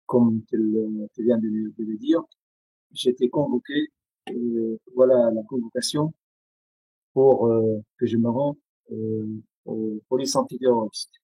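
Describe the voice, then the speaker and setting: A man from Syria with a Syrian accent, Arabic his first language, speaking haltingly with many pauses and restarts as an interview guest on a video call. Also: mid-range voice, steady tone, breathy edge, no echo, dull audio, soft background music.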